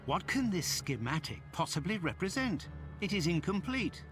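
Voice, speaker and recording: A sceptical gentleman companion in a detective game. The voice is slightly high and very varied in melody. He is courteous, formal and wry, over clearly audible music. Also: sing-song, normal speed. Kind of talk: video game cutscene acting. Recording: loud music under the voice.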